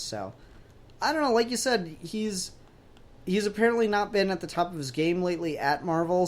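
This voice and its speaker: A man talks informally as a podcast co-host in a fan discussion. His pitch moves around a lot, giving the casual chat an animated sound. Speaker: comic book review podcast co-host.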